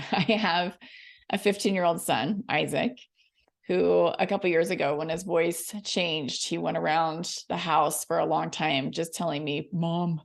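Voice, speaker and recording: Froggy, low-voiced American woman podcaster. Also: vocal fry. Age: middle-aged. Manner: friendly, upbeat, informal. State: scratchy, froggy voice from laryngitis. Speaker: podcast host and coach. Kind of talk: chatty solo podcast talk.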